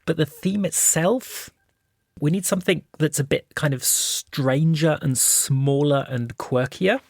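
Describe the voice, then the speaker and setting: A man's voice: on the high side, measured pace, vocal fry, darkish tone, a little hiss or hum. A man hosting a behind-the-scenes podcast as one of its producers, talking casually.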